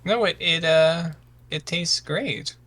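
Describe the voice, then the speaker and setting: Man playing an anxious, stammering young misfit, bright-voiced. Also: fairly high pitch, takes it slowly. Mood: hesitant, puzzled. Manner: hesitant, stammering, earnest. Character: sensitive, anxious, hesitant misfit son of warriors.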